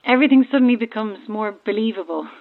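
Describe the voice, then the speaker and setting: A woman's voice: on the high side, fast talker, vocal fry. Stranded boat woman on narrow, tinny marine radio: chatty yet cryptic, knowing ghost believer, audio horror drama. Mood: chatty, keen. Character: eerie, self-aware female ghost believer calling from stranded boat. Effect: radio transmission filter. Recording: thin, band-limited marine radio.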